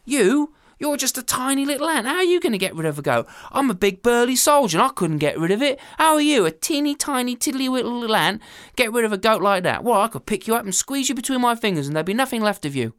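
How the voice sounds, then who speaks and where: A woman's voice: on the high side, sing-song, brisk, few pauses, dry recording, clean studio sound, voice pushed up. Woman doing a blustering burly soldier. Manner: blustering, bossy. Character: brash boastful burly male soldier.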